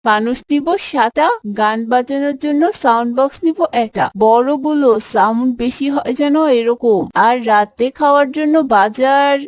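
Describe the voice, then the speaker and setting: A woman's voice: sits high, unhurried, few pauses. A woman voicing an eager schoolboy in a children's moral cartoon. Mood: eager, friendly. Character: eager schoolboy organising a picnic with friends.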